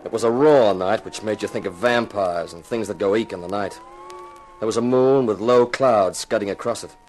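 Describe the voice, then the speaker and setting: Low-voiced, wry hard-boiled investigator played by a man. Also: normal speed, darkish tone, lightly acted. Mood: moody narration. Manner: dry, wisecracking. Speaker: narrator and lead actor. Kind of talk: 1950s radio detective drama, noir narration. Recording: slightly noisy 1950s radio recording.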